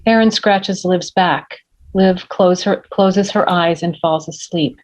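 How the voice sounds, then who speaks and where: A woman's voice: on the low side, slow pace, darkish tone. A woman reading screenplay scene directions as scripted narration, on a slightly lo-fi recording. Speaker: stage-direction reader. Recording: slightly dull, lo-fi audio.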